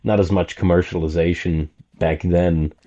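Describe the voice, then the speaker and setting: A man with a deep voice talks as a guest on a podcast. His tone is dark and mellow, and he comments in a casual, conversational way. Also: steady tone, moderate pace, highs cut off.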